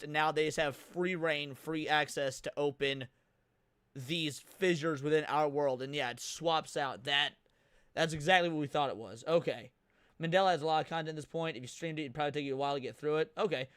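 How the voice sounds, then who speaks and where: A man's voice: a little high, clear, resonant tone, dry recording, clean studio sound. A man giving casual live reaction commentary as a stream host, thinking aloud as he goes. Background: loud music from the watched video.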